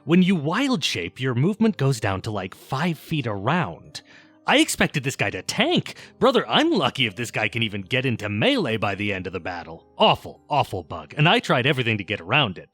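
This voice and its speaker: A man's voice: mid-range voice, huge pitch swings, brisk, fairly bright tone, slightly rough, dry recording. A man narrates a video essay in an informal, opinionated style. His mid-range voice moves up and down a great deal, giving the scripted lines a casual, conversational swing. Faint music plays behind him.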